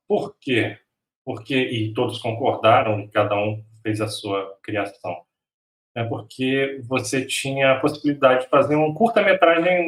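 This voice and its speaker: Stumbling filmmaker, distant mic in a roomy space. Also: male voice, mid-range voice. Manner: hesitant, many restarts and stumbles. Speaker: filmmaker at a panel. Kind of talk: answering questions in a panel talk. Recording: echoey room, far from mic.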